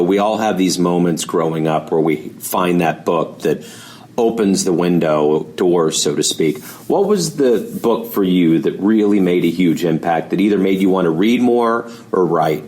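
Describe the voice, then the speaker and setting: A man's voice: deep voice, animated, normal speed, a little mellow. A man hosting an interview in a conversational style, building up to his questions in long, discursive phrases. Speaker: interview host.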